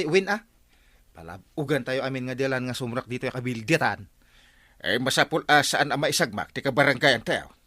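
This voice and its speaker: Village captain, Ilocano radio comedy-drama: earnest, excitable, mid-pitched with very lively swings. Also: male voice. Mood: urgent, commanding.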